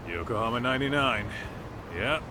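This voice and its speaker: A man's voice: mid-range voice, expressive, moderate pace, noisy background, close to an everyday voice. An actor playing a casual, streetwise male detective in a video game, talking in a clipped, informal, wry way. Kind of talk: video game cutscene acting.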